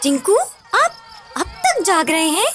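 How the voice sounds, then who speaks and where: A woman playing a caring, gently scolding mother of young children in a cartoon. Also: very high-pitched, wildly swooping pitch, slow pace, soft background music, full character voice. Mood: concerned, chiding.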